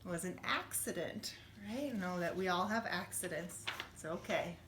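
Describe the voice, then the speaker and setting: A woman reading a children's storybook aloud like a teacher, expressively, with gentle questions to her young listeners. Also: on the low side, expressive, normal speed, background noise. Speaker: schoolteacher reading a bedtime story. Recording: roomy, echoey room sound.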